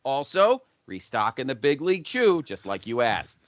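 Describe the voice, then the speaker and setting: A man's voice: mid-range voice, normal speed, dry recording, close to an everyday voice. A man playing a wisecracking, deferential video store clerk in a comic audio play. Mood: fawning. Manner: flattering, joking, profane among friends. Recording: dull lo-fi audio.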